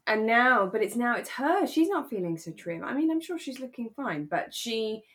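A woman's voice: fairly high pitch, very animated, moderate pace, a bit bright. Woman podcast host, kinda giggly and gushing, just chatting away casually. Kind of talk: casual chat about a radio drama.

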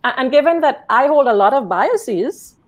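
A woman's voice: sits high, animated, moderate pace. A woman on a podcast panel, agreeable and conversational. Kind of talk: casual panel discussion.

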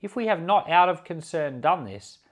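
A man reading the Bible aloud as a preacher, in solemn, deliberate, emphatic short phrases. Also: fairly high pitch, animated.

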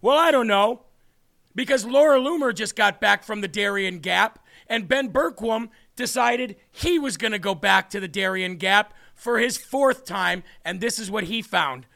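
A man's voice: sits high, moderate pace, creaky, pushed and bright. A male show host giving sarcastic political commentary in a mocking tone.

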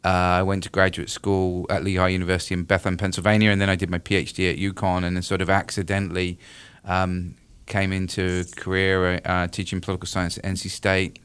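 A man's voice: low voice, completely flat delivery, fairly quick, fairly bright tone, smooth. A middle-aged British man, a political science professor, talking as a radio interview guest, hesitant with many fillers and restarts. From United Kingdom.

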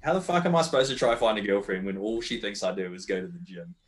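A man's voice: on the low side, fast. A young man with an Australian accent, talking candidly and at length as a podcast guest, swearing freely and rambling through his points. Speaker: guest powerlifter. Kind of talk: casual podcast chat.

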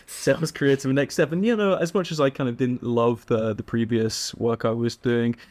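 A man's voice: mid-range voice, normal speed. A young Englishman with an English accent chats easily as a podcast guest, laughing often and scattering fillers through his stories. His voice sits in a middle range and sounds dry and close, with no room echo. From England. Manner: relaxed, chatty storytelling with frequent laughs and fillers. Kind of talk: casual interview chat.

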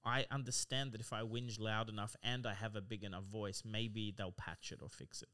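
Bright-voiced man chatting. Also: fairly low pitch, flat delivery, normal speed, smooth. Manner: candid, swears casually, laughs mid-sentence. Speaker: podcast co-host. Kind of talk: casual chat. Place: studio room, in person.

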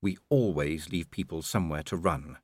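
A man's voice: a bass voice, moderate pace, dry recording, voice pushed down. Young werewolf woman, read by a man: tough, cool, sardonic, dry storybook delivery. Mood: cool scorn.

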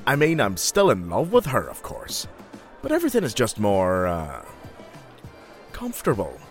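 A man playing a sentimental, gentle young friend who speaks frankly, in an audio drama. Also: lowish voice, huge pitch swings, a little slow, faint music behind, exaggerated voice. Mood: wistful.

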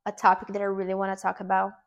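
Brazilian woman host, Portuguese native, gravelly voice, earnest self-help pep talk, stumbles and repeats words. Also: mid-range voice, monotone, racing. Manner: earnest, repeats words while searching for them.

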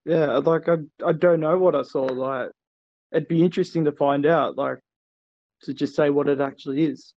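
A man speaking hesitantly, full of "like" and "uh". His voice sits a little high. The recording is dry and muffled, with no room sound. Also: steady tone, moderate pace, no room sound. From Australia. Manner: hesitant, many fillers. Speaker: guest. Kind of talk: casual call-in interview.

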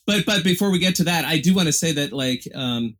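A man's voice: on the high side, animated, a little fast, a bit bright. A man co-hosting a casual film review chat, thinking aloud in long, winding, thoughtful remarks. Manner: discursive, thoughtful, many fillers. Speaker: film critic co-host.

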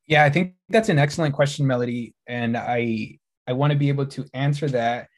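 A young man from Oceanside, California, co-hosting a podcast in a friendly, relaxed way. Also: mid-range voice, a little fast. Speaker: podcast co-host. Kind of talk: casual podcast chat.

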